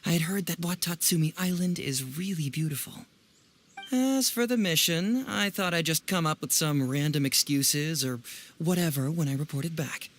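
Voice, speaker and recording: A man's voice: fairly high pitch, normal speed, slight background noise, natural voice. An actor playing a clever, playful young male detective in an anime video game, musing theatrically and teasingly. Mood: breezy, reminiscing.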